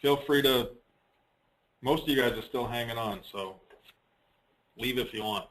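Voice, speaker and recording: A man giving a casual, unscripted software walkthrough on a conference call, rambling a little and thinking aloud as he presents. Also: mid-range voice, measured pace, slight background noise, low-quality recording.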